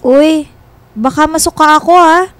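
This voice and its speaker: A woman's voice: high voice, measured pace. An actress with a Filipino accent playing a playful, teasing woman in her twenties in a radio romance drama. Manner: giggly, teasing, expressive. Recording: music behind the voice. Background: background music.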